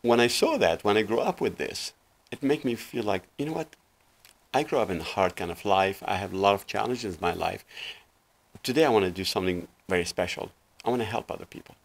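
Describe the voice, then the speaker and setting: A man's voice: lowish voice, animated, a little fast. A man in his sixties from Lebanon, a guest life coach telling his story earnestly in a small TV studio, with lots of fillers. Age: 60s. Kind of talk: casual interview talk. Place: small TV studio set, indoors.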